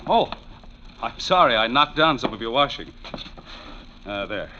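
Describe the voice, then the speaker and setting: A man's voice: medium pitch, very animated, measured pace. A man playing an earnest, formal, well-off widower in an old radio drama, courteous in his speech. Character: polite, earnest, wealthy widowed male client. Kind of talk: 1940s-50s American radio drama acting. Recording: dull old radio recording, faint noise, clicks.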